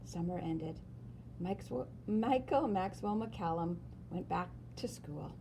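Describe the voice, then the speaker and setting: Animated woman reading a children's story. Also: lowish voice, gravelly, a little hiss or hum. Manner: animated, dramatic emphasis and pauses. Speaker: storyteller reading a picture book aloud. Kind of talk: expressive children's story read-aloud.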